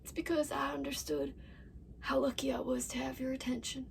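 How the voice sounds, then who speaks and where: Woman acting a high-voiced, desperate young farm wife. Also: steady tone, a little fast, vocal fry. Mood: pained confession. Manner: anguished, swinging between whispers and outbursts. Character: desperate young farm wife confessing to her absent husband. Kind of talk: dramatic film monologue performance. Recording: distant mic with faint background noise.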